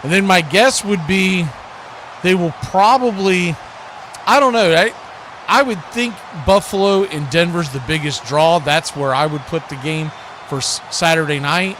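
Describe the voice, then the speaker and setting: A man with a fairly high voice does play-by-play for a live football watch party. He talks in a folksy, conversational way, with clear background noise behind him. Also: unhurried, fairly bright tone. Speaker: play-by-play host.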